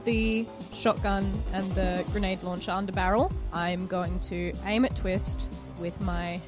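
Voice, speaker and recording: A woman talks casually as a player in a tabletop game. Her voice sits at a middle pitch, and music is clearly audible behind her. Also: steady tone, measured pace.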